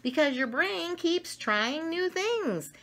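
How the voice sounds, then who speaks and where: A middle-aged woman reading an educational children's book aloud with deliberate, emphatic phrasing for young listeners. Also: very high-pitched, sing-song, a bit bright. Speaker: storyteller reading picture books. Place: home dining room, indoors.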